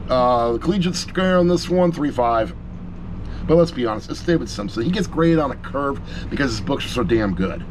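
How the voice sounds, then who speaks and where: A man's voice: a little high, noisy background. A middle-aged man giving a casual, opinionated review to camera, speaking informally and emphatically with repetition and some mild swearing. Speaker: audiobook reviewer.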